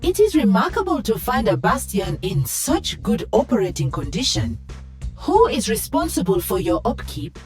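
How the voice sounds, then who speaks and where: A woman's voice: high-pitched, big pitch swings, normal speed, darkish tone, soft background music. A woman voicing an earnest, polite female guardian robot in a video game, speaking formally and precisely through a robotic filter. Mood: curious, formal.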